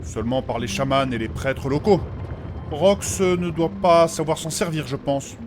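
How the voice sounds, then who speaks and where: A man's voice: a little high, unhurried, noisy background, lightly acted. A man playing a learned, formal and fussy scholar of magic in a comic fantasy audio drama, precise and prone to repeating himself. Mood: earnest, explanatory. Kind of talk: French fantasy comedy audio fiction acting.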